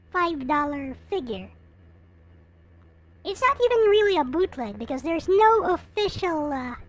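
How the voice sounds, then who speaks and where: A woman giving a casual, unscripted show-and-tell as a vlogger, in a halting way as she thinks aloud. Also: sits high. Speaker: vlogger showing collectible figures.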